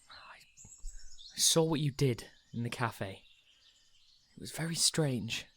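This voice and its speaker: Actor as bright-voiced, cheeky, animated chatterer. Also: medium pitch. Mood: cheeky, unfazed. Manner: playful, teasing, halting. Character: Alex, cheeky, persistent, unfazed young chatterer. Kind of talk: radio play acting.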